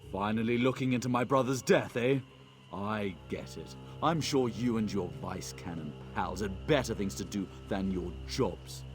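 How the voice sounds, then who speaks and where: A man's voice: lowish voice, moderate pace, soft background music, lightly acted. A man playing a surly, sarcastic townsman in a video game, brusque and bereaved. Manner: brusque, sardonic. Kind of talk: video game character acting.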